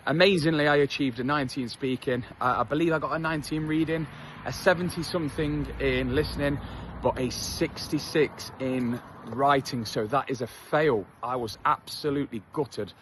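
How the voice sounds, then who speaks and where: A man's voice: mid-range voice, normal speed, a bit bright, slight background noise. Candid English man talking while jogging. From England. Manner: candid, self-deprecating, chatty with many fillers. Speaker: vlogger. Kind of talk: casual talk to camera while jogging. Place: outdoors on a street.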